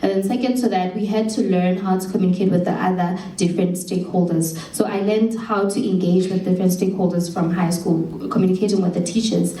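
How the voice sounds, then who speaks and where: A woman's voice: fairly low pitch, steady tone, brisk, few pauses, mellow. Earnest young South African woman in a hall. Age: young adult. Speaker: program alumna and speaker. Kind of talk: speaking on stage at a showcase. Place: event hall. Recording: roomy hall from far off with faint hum.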